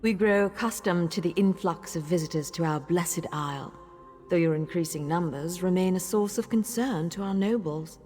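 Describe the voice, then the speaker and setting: An actress playing a poised, coolly condescending high elf queen in a fantasy game, speaking in a stately, deliberate manner. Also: mellow, in a large hall, faint music behind, close to an everyday voice. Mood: coolly gracious.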